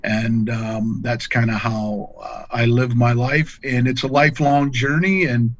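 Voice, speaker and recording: Middle-aged male angler, folksy podcast guest, mid-pitched voice, slightly muffled lo-fi sound. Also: fairly even intonation, measured pace, no room sound. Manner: folksy, plain-spoken. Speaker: podcast guest, angler and fishing pro staffer. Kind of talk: casual conversational storytelling.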